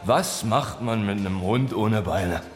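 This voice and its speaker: A man playing an insistent village drunkard in a radio play, with drawn-out, halting speech. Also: on the low side, in a large hall, soft background music, lightly acted. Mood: drunken, insistent. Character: slurring male village drunkard, slow and insistent.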